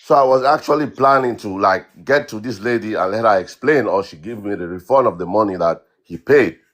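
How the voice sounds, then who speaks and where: A man from Cameroon, a guest on a livestream, telling his story in a halting way with repeated words and many fillers. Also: lowish voice, a bit bright. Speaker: guest caller. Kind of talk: casual account on a livestream.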